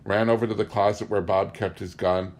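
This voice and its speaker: A man's voice: on the low side, little pitch movement, brisk, darkish tone. A man reading a literary memoir aloud as an audiobook narrator. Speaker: audiobook reader.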